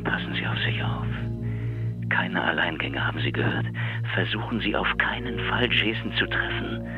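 A man's voice: low voice, lively intonation, moderate pace, highs cut off. An actor playing a grim, suspicious male FBI investigator with a pressing, guarded manner in an audio drama. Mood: grave, warning. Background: music under the voice.

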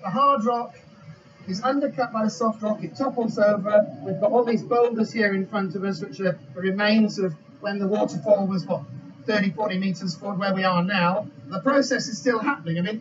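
A middle-aged man, a geology expert, explaining things unscripted outdoors in a projected voice. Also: high-pitched. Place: outdoors beside a roaring waterfall. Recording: dull sound, loud rushing water noise.

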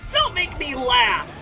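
A woman plays a very high-pitched, brash young male hedgehog hero from a game fan dub. The delivery is lively, cocky and sarcastic, always ready to taunt, over loud music. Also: exaggerated voice.

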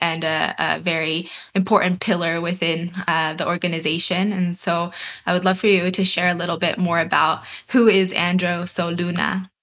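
A woman hosting an interview podcast, speaking in earnest, reverent, deliberate phrases. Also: fairly low pitch, fairly bright tone. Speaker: podcast host. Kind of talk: reflective interview introduction.

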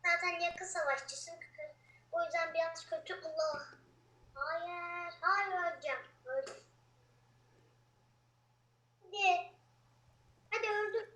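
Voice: extremely high pitch, unhurried, sharp tone, roomy sound. A child chatting casually with a friend while playing and commenting on a video game. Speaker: gamer.

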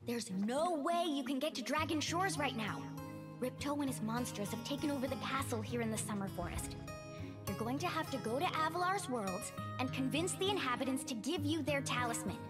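An actress voicing a spirited young female fawn in a video game, brisk and take-charge. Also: high-pitched, animated. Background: game music.

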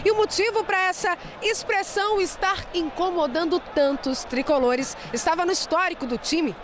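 A woman's voice: very high-pitched, moderate pace, a little hiss or hum. A woman sports reporter, reading a scripted television football report as a voice-over. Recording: voice-over over loud music and stadium crowd.

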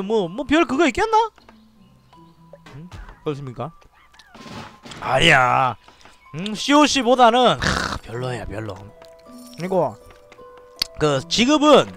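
A man from Daegu with a Daegu dialect accent, giving excitable live commentary on a strategy game, shouting orders and muttering to himself. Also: sits high. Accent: Gyeongsang (Daegu) dialect. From Daegu, South Korea. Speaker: game streamer.

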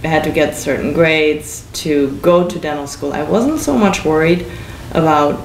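Low gravelly young woman, roomy and distant. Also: a little slow, a little hiss or hum. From Michigan. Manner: hesitant, filler-heavy. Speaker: interviewee. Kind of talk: casual interview. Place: living room.

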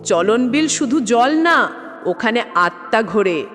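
A woman's voice: fairly high pitch, animated, slow, a bit bright. A woman voicing a grave, superstitious elderly village man who speaks in cautioning tones in a ghost story. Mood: grave warning. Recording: long reverb, clear background music.

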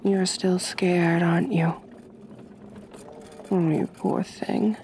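A woman voicing a gentle, possessive aristocratic vampire mistress in an ASMR roleplay, soft-spoken, formal and soothing. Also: lowish voice, fairly even intonation, slow pace, slight background noise. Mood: tender, coaxing.

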